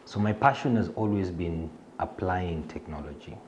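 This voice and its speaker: A middle-aged man with a Kenyan accent talks about himself in an interview, his voice very low. He pauses often with fillers and restarts his sentences, chuckling a little at himself. A faint hum of noise sits under the recording. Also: darkish tone, highs cut off. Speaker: fintech executive. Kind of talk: self-introduction in an interview.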